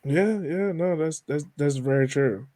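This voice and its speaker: A man's voice: a little high, unhurried, mellow, no echo. A man hosting a podcast interview in a casual way, feeling his way through his questions.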